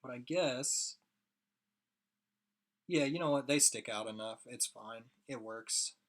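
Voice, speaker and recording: A hoarse young man rambling casually to his viewers while he draws on a live stream. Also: medium pitch, slow pace, a bit bright. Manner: rambling, chatty, talks to chat viewers. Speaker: streaming artist.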